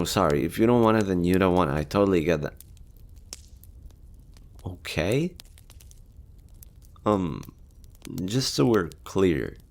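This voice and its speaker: A man's voice: low voice, a little mellow, heavily acted. A man acting as a lonely, easygoing survivor of a nuclear apocalypse in an audio roleplay, talking casually and candidly with some hesitation. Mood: shy, tender.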